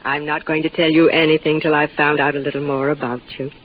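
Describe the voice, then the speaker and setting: A woman's voice: deep voice, little pitch movement, fast talker, lightly acted. An actress playing a young, intelligent woman ship's doctor in a 1950s radio drama, composed and probing. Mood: cool, curious. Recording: tinny, noisy 1950s radio recording.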